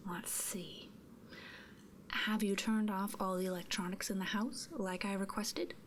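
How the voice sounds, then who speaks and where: Slow, whispering woman in ASMR roleplay. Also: medium pitch, a little hiss or hum. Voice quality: soft breathy whisper. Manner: unhurried, gently inquisitive, murmured hums. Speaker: ASMR performer. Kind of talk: whispered ASMR roleplay as a ghost removal technician.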